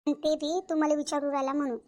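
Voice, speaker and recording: A woman's voice: high-pitched, little pitch movement, fast talker, darkish tone, close to an everyday voice. A woman playing an outspoken village aunt in a cartoon family drama, with a Varhadi Marathi accent from Vidarbha and an emphatic, repetitive, colloquial way of talking. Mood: emphatic, indignant. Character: outspoken village aunt, sister-in-law, frank peacemaker.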